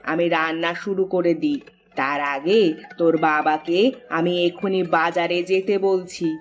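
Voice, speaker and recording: A kindly mother, voiced by a woman, speaks softly and reassuringly in a low voice. Soft music plays in the background. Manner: gentle, reassuring. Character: kindly middle-aged mother, soothing.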